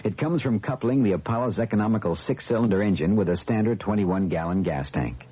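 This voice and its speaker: A man's voice: lowish voice, brisk, warm tone, husky. A man reading a scripted 1970s radio commercial in a polished, explanatory way. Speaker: commercial announcer. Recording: dull vintage radio recording.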